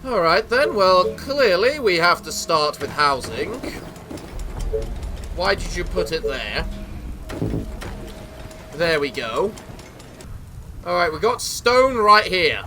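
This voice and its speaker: Lively high-voiced English man. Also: slow, long pauses, music in the background. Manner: theatrical gentleman persona, grand greeting. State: sick, voice sounds off. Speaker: gaming YouTuber. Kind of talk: casual let's-play commentary.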